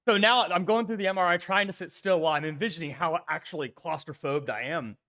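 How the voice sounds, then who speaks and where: A man with a bright, forceful, slightly raspy voice chatting on a chess stream. He tells stories with energy and acts out what people said. His voice sits a little high. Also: fast. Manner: animated storyteller, swears, acts out quotes. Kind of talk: casual chat.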